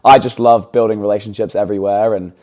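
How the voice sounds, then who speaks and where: Low, mellow and relaxed, a young man in his twenties talks as an interview guest. His accent blends English and American, and his chatty, upbeat delivery is full of ums. It is an easygoing, casual conversation. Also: quick pace, highs cut off. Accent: mix of English and American. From England. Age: 20s. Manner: chatty, filler-heavy, upbeat.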